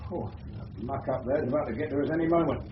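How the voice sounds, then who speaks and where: An actor playing a chatty suburban English husband, colloquial and a little hesitant, in a radio drama. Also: male voice, mid-range voice, fast, natural voice. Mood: genial. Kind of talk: 1970s radio drama acting. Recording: muffled, noisy old radio broadcast.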